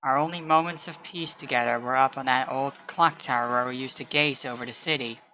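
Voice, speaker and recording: Woman playing low, bright-voiced blunt loyal female comrade. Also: fairly quick, slight background noise, low-quality recording. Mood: wistful. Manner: firm, scolding yet earnest. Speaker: voice actor. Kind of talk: dramatic roleplay voice acting.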